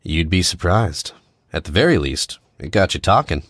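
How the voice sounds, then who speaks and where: A man playing a cocky, needling American spy in an audio drama, casual and clipped, with quiet menace. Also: sits low, very animated, moderate pace, no echo, full character voice. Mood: cocky, teasing.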